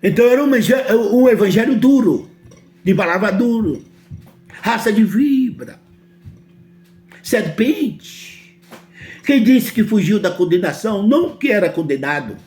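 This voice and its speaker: Emphatic Brazilian preacher man, lively pitch. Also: measured pace, long pauses, soft background music. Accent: Brazilian Portuguese. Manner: emphatic, repeatedly asks listeners if they understand. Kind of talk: sermon.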